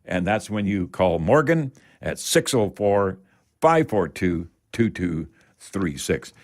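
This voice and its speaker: A man's voice: low-pitched, big pitch swings, takes it slowly, darkish tone. An older man with a Canadian accent hosts a home improvement radio show in a folksy, rambling way, repeating words for emphasis. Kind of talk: folksy live radio talk with product tips.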